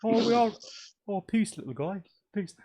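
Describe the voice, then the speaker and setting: A man co-hosting a casual horror movie group chat, rambling and swearing as he tells animated stories. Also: sits high, normal speed, crowd noise. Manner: rambling, profane, animated storytelling. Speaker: podcast co-host.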